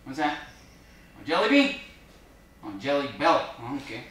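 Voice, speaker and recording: A man in his thirties on a theater stage, playing a folksy, excitable fisherman with an informal, interjecting manner. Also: fairly high pitch, normal speed, a little hiss or hum. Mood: halting, reflective. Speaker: stage actor in a solo show. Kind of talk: theatrical monologue in character. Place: theater stage before a seated audience. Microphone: distant camera. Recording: echoey theater, distant camera microphone.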